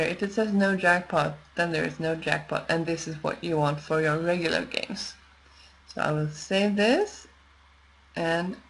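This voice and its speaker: Female tutorial presenter, slightly low voice, slow and measured, explaining software steps with working pauses. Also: poor audio. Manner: measured, explanatory, pausing as she works. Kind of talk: unscripted step-by-step screen tutorial narration.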